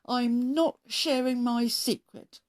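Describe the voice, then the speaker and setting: A woman's voice: on the high side, fairly even intonation, slow pace, a little mellow, voice pushed up. A woman voicing an anxious, self-doubting male scarecrow in a children's story, plaintive and fretful. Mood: tearful, defeated.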